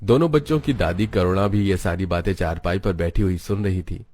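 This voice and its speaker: Guy narrating a kids cartoon story, lowish voice, scripted storyteller read. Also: a little fast, darkish tone.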